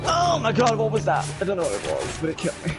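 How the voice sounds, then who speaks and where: A man's voice: sits high, huge pitch swings, quick pace. A man playing an online battle game as a teammate, swearing and laughing in exasperation. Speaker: teammate and co-player. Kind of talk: casual gaming chat. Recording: game music loud behind the voice. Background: game music and sound effects.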